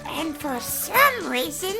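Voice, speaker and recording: An actor voicing an excitable young cartoon duck nephew who tells stories earnestly and eagerly in a fan comic dub. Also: high-pitched, slow pace, gravelly, over music, exaggerated voice. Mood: earnest storytelling. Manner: earnest, enthusiastic storytelling.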